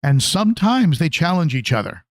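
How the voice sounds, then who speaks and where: A man hosts a relaxed, informal livestream in a slightly high voice. He speaks conversationally and a bit haltingly, doubling back on his phrases as he responds to viewers. The sound is very dry, with no room echo. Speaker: livestream host, aquarium hobbyist. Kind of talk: casual livestream chat, answering viewer comments.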